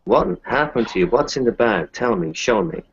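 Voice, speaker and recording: A man playing an insistent, suspicious male doctor who presses and demands in halting, repeated phrases, over a lo-fi voice chat. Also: medium pitch, sing-song, slight background noise.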